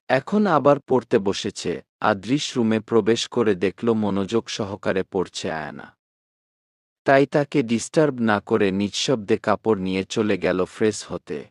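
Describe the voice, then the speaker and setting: A man narrating a romantic story from a script in a measured, expressive reading style. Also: fairly low pitch, no echo, studio quality.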